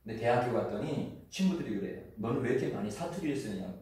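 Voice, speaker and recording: Chungcheong pastor preaching in an echoey hall. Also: male voice, medium pitch, animated, mellow. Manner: earnest, conversational preaching with drawn-out sentence endings. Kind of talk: sermon. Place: church sanctuary. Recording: echoey church hall from far off.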